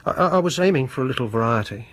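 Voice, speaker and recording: An actor in a radio horror drama playing a fussy, prim, faintly sinister middle-aged English bachelor, hesitant and pedantic in manner. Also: male voice, mid-range voice, expressive, racing, a bit bright. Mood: pedantic, faintly smug. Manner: hesitant, pedantic, over-polite.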